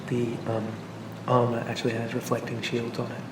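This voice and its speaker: Man playing a gentle, even-toned AI assistant. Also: mid-range voice, brisk, echoey room, distant mic, very noisy, natural voice. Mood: pleasant, encouraging. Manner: gentle, hesitant, reassuring, conversational. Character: charming, pleasant male AI personal assistant for a police officer. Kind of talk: soothing ASMR roleplay.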